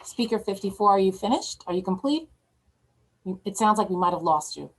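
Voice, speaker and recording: A woman's voice: medium pitch, animated, brisk, low-quality recording. A woman moderating an online public meeting, making procedural announcements and calling on speakers.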